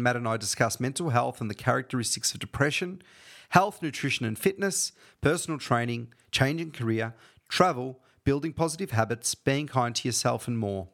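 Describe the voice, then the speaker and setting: A man's voice: medium pitch, fairly bright tone. A man hosting a podcast, reading a scripted introduction and then asking friendly, short questions. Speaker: podcast host.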